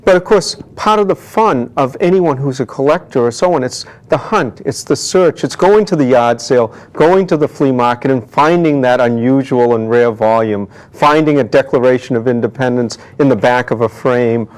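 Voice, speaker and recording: A man's voice: mid-range voice, normal speed, hardly any pauses. A man speaking conversationally as an expert guest in an interview, explaining his trade. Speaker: interview guest, antiquarian bookseller.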